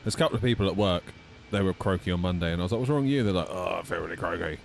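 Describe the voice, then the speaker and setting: Croaky low-voiced man chatting. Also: rapid-fire. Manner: chatty, talks to chat readers. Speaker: streamer. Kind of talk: casual chat while flight simming.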